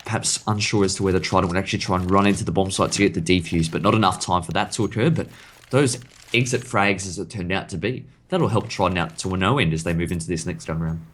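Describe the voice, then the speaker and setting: A man casting an esports match live, his voice croaky, calling the action and breaking it down in detail. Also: deep voice, a bit bright. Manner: rapid, analytical play-by-play. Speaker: esports caster.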